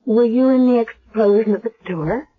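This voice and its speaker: An actress playing a caring, wry woman hospital doctor in a 1970s radio drama, with a brisk, reassuring manner. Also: a little high, close to an everyday voice. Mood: calm concern. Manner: brisk, reassuring professional questioning. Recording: dull lo-fi old radio broadcast.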